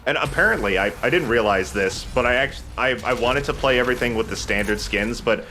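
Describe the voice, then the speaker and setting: A man's voice: mid-range voice, brisk, pushed and bright, slight background noise, poor audio. A man hosting a let's play, giving casual commentary while he plays. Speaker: let's play host and player.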